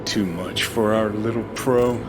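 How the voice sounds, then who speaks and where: A man's voice: on the low side, fairly even intonation, measured pace, close to an everyday voice, low-quality recording. A man playing a cynical, sardonic rebel rocker in a video game, with a dismissive, clipped American delivery. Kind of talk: gritty video game acting. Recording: loud music over dialogue.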